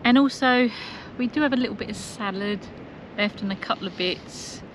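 A middle-aged woman with a medium-pitched voice talks casually, as if to friends on a travel vlog. Her delivery is chatty and informal, recorded on a nearby camera with light outdoor noise. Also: moderate pace. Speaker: travel vlogger. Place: mostly outdoors on open clifftop.

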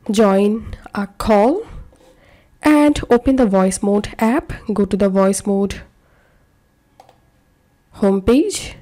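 A woman narrating a step-by-step software tutorial in short, instructional phrases. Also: a little high. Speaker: tutorial presenter.

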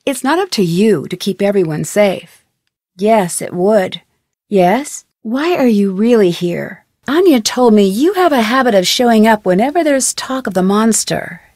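A woman's voice: medium pitch, expressive, measured pace, darkish tone, dry recording, clean studio sound. A woman playing a curious, polite young amateur detective in scripted video game dialogue, asking questions in an inquisitive way. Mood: sympathetic, probing. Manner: inquisitive, polite, clearly enunciated. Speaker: game protagonist.

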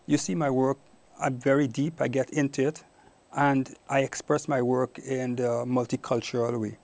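A man's voice: medium pitch, little pitch movement, moderate pace, creaky, darkish tone. A middle-aged man from Guyana with a Caribbean accent, giving reflective answers as an interview guest. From Guyana, later Trinidad and Tobago. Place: small indoor TV studio. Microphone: lapel mic.

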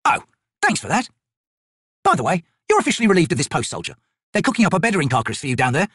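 A man's voice: on the high side, wildly swooping pitch, quick pace, a bit bright, slightly rough, dry recording, clean studio sound, pitched above the performer's own voice, full character voice. A man voicing a scrappy, sarcastic army sapper in a fantasy audiobook, colloquial and needling. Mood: griping, sarcastic.

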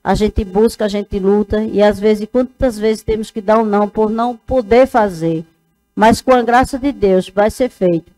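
A woman's voice: mid-range voice, normal speed, mellow, soft background music, rough recording. A woman, giving an earnest speech at a council session into a lectern microphone. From Northeast Brazil. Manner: plain earnest speech with fillers, addresses colleagues. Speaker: city councilwoman.

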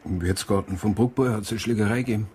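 A Bavarian policeman with a low voice and a rapid pace. He reports factually, in naturalistic radio crime drama style. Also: darkish tone, slightly rough. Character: eager male Bavarian policeman reporting an incident.

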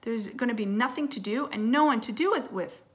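A woman's voice: a little high, brisk, voice pushed up, lightly acted. A woman voicing a glum, mopey young boy aardvark while reading a storybook aloud. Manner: mopey, wishful.

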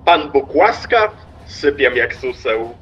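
An actor playing a smug, pragmatic railway stationmaster in a radio play, pompous and self-satisfied. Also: male voice, medium pitch, big pitch swings, slow, a bit bright, lo-fi sound.

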